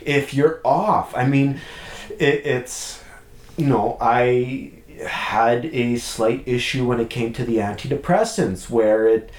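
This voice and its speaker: A man's voice: medium pitch, takes it slowly, darkish tone, echoey room. A man speaking candidly as a podcast guest about his past relationship, reflective and open, often ending his points with a questioning "right?". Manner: open, reflective, many restarts and "right?" tags. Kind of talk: candid conversational chat.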